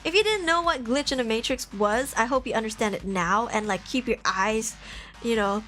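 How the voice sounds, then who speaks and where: A young woman from Malaysia chats with viewers on a live stream in a voice pitched a little high. She laughs often, and her pitch leaps when she gets excited. Faint music plays behind her. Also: normal speed. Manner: chatty, laughs often, lively jumps in pitch. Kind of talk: casual live-stream chat, reading donation messages. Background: faint background music.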